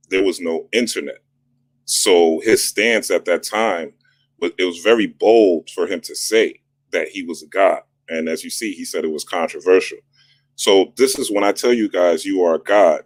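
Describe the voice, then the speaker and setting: Deep voiced guy talking spiritual stuff to his viewers, repeats lines like a preacher, dry close mic. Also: dry recording. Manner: repetitive, preacher-like emphasis. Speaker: spiritual astrology channel host. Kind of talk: casual motivational monologue.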